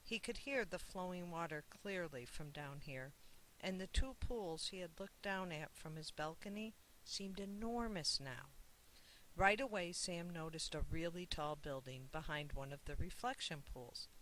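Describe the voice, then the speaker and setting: An author narrates a children's picture book in a measured read-aloud voice of medium pitch. Key words get expressive emphasis. A light hiss runs through the recording. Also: rough recording. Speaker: author reading own book.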